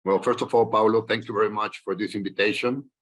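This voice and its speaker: A man, a guest telling his career story in a podcast interview in a measured, reflective way. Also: lowish voice, fairly even intonation, fairly bright tone. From Colombia. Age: 60s. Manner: measured, reflective, with frequent fillers and restarts. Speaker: guest, investment banker.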